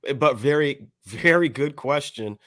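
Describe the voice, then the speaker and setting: Man, podcast co-host, medium pitch, casual commentary, deliberate wordy phrasing. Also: a bit bright, no echo, clean studio sound. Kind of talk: casual hip hop panel commentary.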